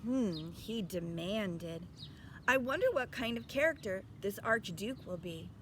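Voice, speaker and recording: A woman's voice: mid-range voice, expressive, unhurried, a little hiss or hum. A woman reading a storybook aloud expressively as a teacher, stopping to put instructive questions to young listeners.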